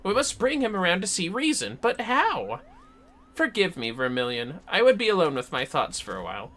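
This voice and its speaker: A man's voice: high voice, wildly swooping pitch, moderate pace, fairly bright tone, voice pushed up, heavily acted. A man voicing a solemn, earnest forest mage from a fantasy game, reading dialogue aloud in a formal, archaic, theatrical manner. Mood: earnest, troubled. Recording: music behind the voice.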